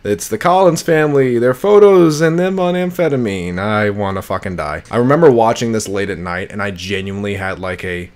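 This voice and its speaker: A man's voice: lowish voice, a little fast, hardly any pauses, a little mellow. Blunt, sweary young man. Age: young adult. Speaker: video reviewer of horror series. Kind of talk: casual commentary to camera. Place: bedroom, indoors. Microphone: close desk microphone, with headphones.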